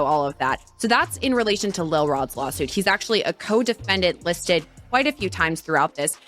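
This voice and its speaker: A woman's voice: on the low side, a bit bright. A woman interviewing in a conversational news style.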